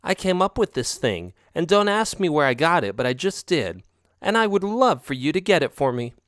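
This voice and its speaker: A man's voice: on the high side, very animated, normal speed, no room sound, clean studio sound, voice pushed up. A man voicing a pushy, dramatic young female cat who whines and sobs to get her way. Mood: coaxing. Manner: whiny, tearful, manipulative.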